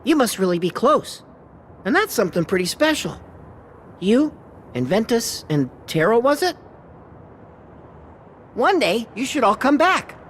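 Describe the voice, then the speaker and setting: A woman's voice: fairly high pitch, sing-song, a little slow, pauses often, a little hiss or hum. A woman voicing a kind, encouraging young woman in a game cutscene. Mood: warm, encouraging. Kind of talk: animated game cutscene acting.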